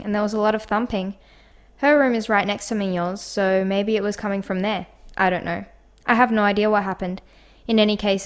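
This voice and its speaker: A woman's voice: medium pitch, natural voice. A woman playing a blunt, catty vegan activist giving testimony in a radio mystery play.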